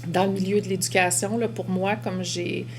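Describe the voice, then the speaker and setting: A middle-aged woman from Québec with a Québécois accent, calmly sharing a reflective personal testimony about working in education. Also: medium pitch, normal speed, a little hiss or hum. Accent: Québécois French.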